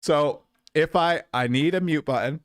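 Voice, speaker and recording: A man's voice: a little high, moderate pace, no room sound, clean studio sound. A man in his late twenties hosting a casual livestream, chatty and self-deprecating, coming down with a cold. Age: 20s. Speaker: streamer host.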